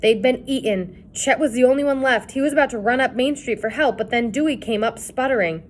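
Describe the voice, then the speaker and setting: A woman reading a children's chapter book aloud in an expressive storytelling style. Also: fairly high pitch, a little fast, slight background noise. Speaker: reader.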